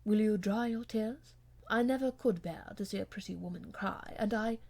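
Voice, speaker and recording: A woman voicing a proud English gentleman who speaks with measured, formal gallantry in an audiobook. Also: medium pitch, close to an everyday voice. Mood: gentle restraint. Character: proud, restrained English gentleman, coolly courteous to his lady.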